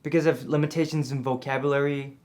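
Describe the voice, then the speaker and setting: A man's voice: mid-range voice, little pitch movement, fast, a little mellow. A man from Taiwan, an international student, recalling his early struggles in an interview. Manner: reflective, recalls struggles with English.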